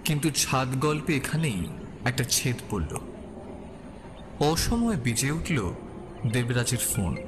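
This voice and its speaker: Man, slow scripted suspense narration, medium pitch, long reverb, noisy ambience behind. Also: very animated, music in the background. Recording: long reverb, clear background ambience noise.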